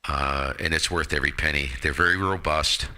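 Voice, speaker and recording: Deep, very bright-voiced man. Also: steady tone, a little fast. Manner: emphatic, colorful slangy talk. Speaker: amateur radio operator and streamer. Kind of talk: casual ham radio chat.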